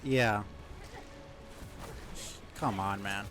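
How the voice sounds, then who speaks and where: A man's voice: on the low side, fairly even intonation, drawn-out words, music in the background. A man giving casual live gaming commentary, thinking aloud as he plays and chatting with viewers. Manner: musing aloud while playing, chatty with viewers. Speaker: live game streamer.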